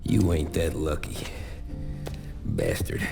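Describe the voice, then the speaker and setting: A man's voice: a bass voice, big pitch swings, takes it slowly, vocal fry, over music, exaggerated voice. A man playing a tough, dutiful gangster hitman in a cinematic crime game. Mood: grim.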